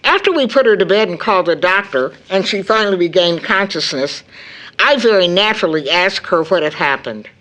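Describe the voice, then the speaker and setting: A woman playing a worried, caring mother in an audio drama, speaking in a measured, earnest way. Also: fairly low pitch, expressive, fairly bright tone, rough recording. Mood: grave, troubled. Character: worried, caring mother recounting her daughter's breakdown.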